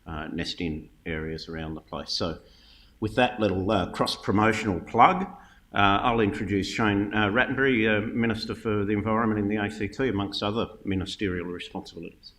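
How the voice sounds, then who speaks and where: An Australian man introducing the next speaker with relaxed, wry remarks and frequent fillers. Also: fairly low pitch, brisk.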